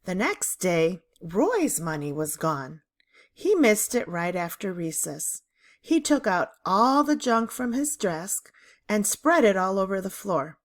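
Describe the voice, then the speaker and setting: Female narrator for children's chapter book. Unhurried delivery, highly varied intonation, expressive storyteller. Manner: deliberate, animated storytelling. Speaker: read-aloud narrator.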